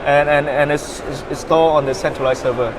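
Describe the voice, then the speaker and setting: A young man from Vietnam, a startup founder, answering interview questions in a halting way with many fillers and repeated words. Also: a little high, steady tone, noisy background.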